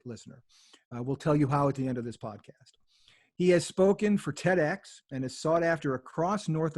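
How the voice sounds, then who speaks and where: A man's voice: mid-range voice, darkish tone. Chatty upbeat male podcast host. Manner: upbeat, chatty, addresses listeners directly. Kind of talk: podcast introduction.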